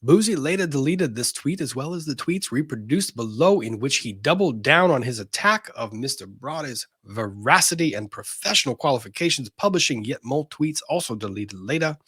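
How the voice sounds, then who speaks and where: A man with a husky, slightly rough voice at a middle pitch, recorded dry and close. He reads formal legal text aloud with dramatic emphasis, stepping out of it for pointed asides as a commentary show host would. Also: animated, moderate pace, few pauses, fairly bright tone, dry recording. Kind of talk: dramatic reading of a lawsuit with asides.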